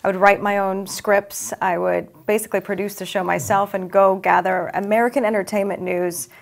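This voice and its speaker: A woman's voice: medium pitch, fairly even intonation, brisk, gravelly. A young woman from Los Angeles whose first language is Farsi, a TV news anchor answering interview questions in an upbeat, chatty way with frequent ums.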